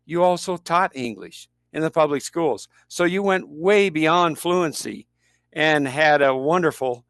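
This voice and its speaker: Older american guy talking about teaching languages, smooth resonant voice, dry sound. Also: fairly high pitch, fairly bright tone, dry recording. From United States. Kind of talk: interview conversation.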